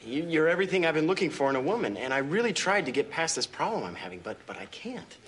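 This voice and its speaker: An actor playing an earnest, apologetic and easily irritated sitcom boyfriend who stammers with awkward sincerity. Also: male voice, fairly high pitch, big pitch swings, quick pace, a bit bright, a little hiss or hum. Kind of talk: multi-camera sitcom acting.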